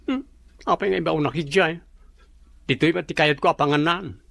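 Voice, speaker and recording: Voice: medium pitch, fairly quick, gravelly, a bit bright, dry recording. An actor playing a sassy, campy young coworker who is always broke, bantering and complaining in an Ilocano comedy radio drama.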